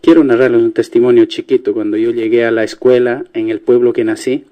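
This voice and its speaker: A man's voice: medium pitch, flat delivery, a little fast, warm tone, lo-fi sound, soft background music. Earnest Quechua-man from Ayacucho. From Ayacucho, Peru. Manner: earnest, critical. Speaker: studio guest. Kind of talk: radio interview conversation.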